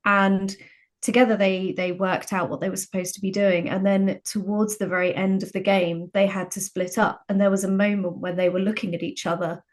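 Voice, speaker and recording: A woman's voice: mid-range voice, flat delivery, moderate pace. A woman speaking as a podcast guest, telling anecdotes and examples in a conversational, reflective way. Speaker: podcast guest, games writer.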